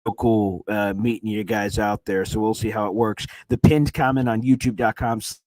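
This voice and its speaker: Hoarse, excited man on a dry mic. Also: on the low side, normal speed, dry recording. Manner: excited, rambling, with frequent "uh" fillers and restarts. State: hoarse, voice nearly gone from screaming. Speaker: sports reporter and livestream host. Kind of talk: live sports reaction livestream. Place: hotel room. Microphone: streaming setup on weak hotel wifi.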